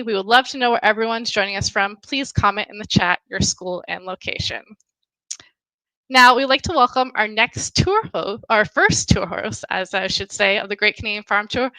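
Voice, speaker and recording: Woman host of a virtual school event. Bright, slightly high voice, dry and close. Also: no echo, poor audio. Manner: upbeat, clear announcements. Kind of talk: scripted live-stream hosting.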